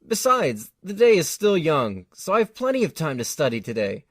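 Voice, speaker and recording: A woman's voice: low voice, very animated, moderate pace, dry recording, clean studio sound. A woman playing a friendly, easygoing young male student, mild and affable, in an audio drama. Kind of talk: fan audio drama acting.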